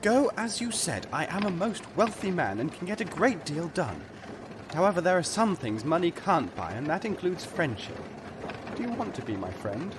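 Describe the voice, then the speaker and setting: A male actor playing a pompous, fretful rich gentleman with genteel old-fashioned phrasing in a comic audio drama. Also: high-pitched, big pitch swings, normal speed, noisy background. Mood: pompous pleading. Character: fussy, panicky rich gentleman, pompous and perfumed.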